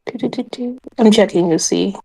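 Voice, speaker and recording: A woman's voice: medium pitch, moderate pace, gravelly, warm tone, breathy edge. A woman chatting casually as a player in a tabletop game.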